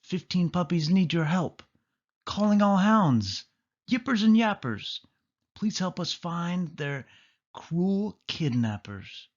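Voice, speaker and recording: A man's voice: sits high, sing-song, slow, highs cut off, voice pushed up, full character voice. A man voicing an earnest, hopeful dalmatian dog in a storybook, calling out urgently and theatrically. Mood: urgent pleading.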